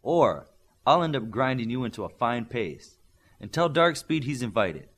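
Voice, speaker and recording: A man's voice: medium pitch, big pitch swings, moderate pace, a bit bright. A man reading an audiobook as a stern male combat coach, firm, blunt and demanding. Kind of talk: audiobook character dialogue.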